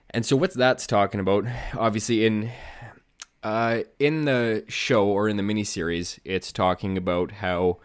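A man with a low, slightly nasal voice, a bit stuffy from a cold, talking through a solo podcast episode. He rambles loosely, with plenty of "uh" and "you know" and restarts. The sound is dry and close, with no room in it. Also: no room sound. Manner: rambling, many fillers and restarts. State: congested, under the weather. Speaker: podcast host. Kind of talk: casual solo podcast talk.

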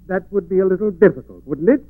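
A man's voice: high-pitched, quick pace, no room sound. An actor playing a nervous, indignant man in the style of 1940s radio crime drama. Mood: alarmed, defiant. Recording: thin, narrow-band old radio recording.

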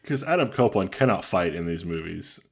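A man co-hosting a podcast in casual comedic chat, dry and joking, with a deep voice. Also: lively intonation, brisk, vocal fry, crowd noise. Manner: dry, joking, riffs on bad movies. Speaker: podcast co-host.

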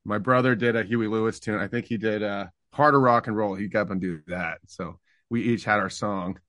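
A middle-aged man from Canada, a singer-songwriter, talking reflectively about his past in a relaxed interview, with fillers and restarts. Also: lowish voice, brisk, no echo, studio quality.

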